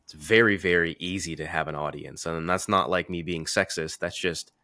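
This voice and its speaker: A man with a very deep yet bright voice talks like a podcast host in casual conversation. His wording is informal and slangy, and the recording is dry and close. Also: fairly even intonation, normal speed, dry recording. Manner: informal, slangy, occasional swearing. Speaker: podcast host and men's confidence coach.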